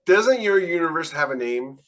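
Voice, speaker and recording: A man's voice: on the high side, animated, normal speed, fairly bright tone. A man chatting on a panel podcast in a blunt, matter-of-fact, opinionated way. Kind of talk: casual opinionated discussion.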